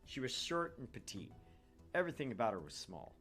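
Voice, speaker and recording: A man's voice: mid-range voice, very animated, a little fast, a bit bright, faint music behind. A man hosting a gaming show, reading a visual novel aloud and commenting casually.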